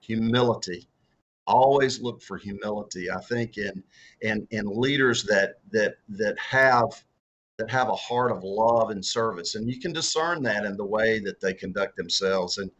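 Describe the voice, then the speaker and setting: A man's voice: on the low side, measured pace, a bit bright, lo-fi sound. A middle-aged man with a strong Southern US accent who answers interview questions about faith and leadership, stumbling and repeating words with many fillers. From Deep South of the US. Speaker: author and former political candidate.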